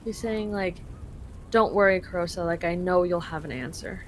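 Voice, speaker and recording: A woman's voice: lowish voice, moderate pace, a little hiss or hum. A young woman from Canada translating a game live for a friend, chatty and full of fillers.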